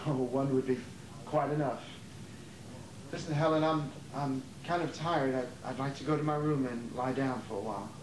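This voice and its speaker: A man's voice: medium pitch, fairly even intonation, normal speed, crowd noise, lightly acted. A man playing a wistful, nervous aging would-be actor, stammering and weary, in an amateur stage play. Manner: hesitant, stammering, repeating words. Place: theater during a rehearsal. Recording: distant, echoey, noisy rehearsal tape.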